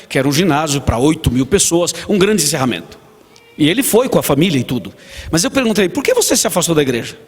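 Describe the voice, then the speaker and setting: Brazilian pastor telling stories in a sermon, kinda high voice, big reverb in the church. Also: male voice, husky. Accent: Brazilian Portuguese. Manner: storytelling, acts out dialogue, asks the congregation. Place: church hall.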